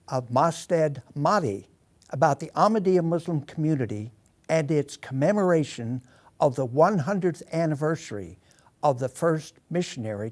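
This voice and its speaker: Courteous male interview host. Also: fairly high pitch. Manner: courteous, inquisitive. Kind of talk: scripted intro then conversational questions.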